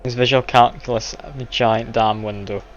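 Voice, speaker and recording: A man thinking out loud while playing a game on a live stream, chatty and self-deprecating with frequent laughs. The audio is slightly dull, and faint game music sits behind him. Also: medium pitch, steady tone, moderate pace. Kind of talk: casual live game commentary.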